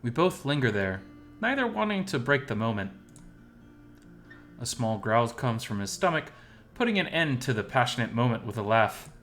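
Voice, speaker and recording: A man narrates a first-person story from a script. His pitch is average for a man. Soft guitar music plays quietly behind him. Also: big pitch swings, normal speed.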